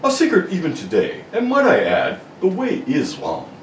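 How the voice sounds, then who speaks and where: A man's voice: a little high, huge pitch swings. A man voicing a polite, formal butler guarding a secret house in an amateur read of a radio serial. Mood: courteous, formal. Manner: formal, deliberate servant-like speech. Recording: echoey room, distant mic, faint noise.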